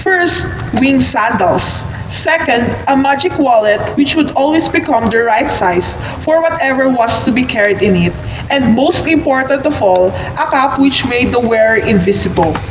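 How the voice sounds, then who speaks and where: Casting: female storyteller, slightly high voice, scripted radio-play narration; muffled, noisy take. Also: expressive, normal speed. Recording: muffled, echoey room with background noise.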